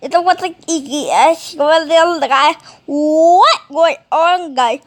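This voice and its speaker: A woman talking casually in a halting, rambling way, with mumbled phrases, as in an improvised home video. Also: extremely high pitch.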